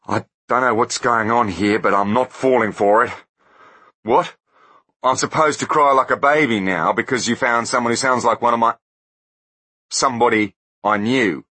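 A man playing a hired killer whose voice climbs high and shrill as he cracks, sneering one moment and begging the next. The voice has a bright, breathy edge and swings widely in pitch. The sound is close and dry, as in a storybook reading. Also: moderate pace. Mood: defiant. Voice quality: shrill, panicky.

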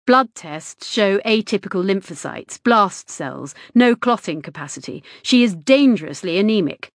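Woman voicing a male hospital consultant, formal, clipped, composed, middle pitch, dry close sound. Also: animated, moderate pace, dry recording, close to an everyday voice. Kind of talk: audiobook character reading.

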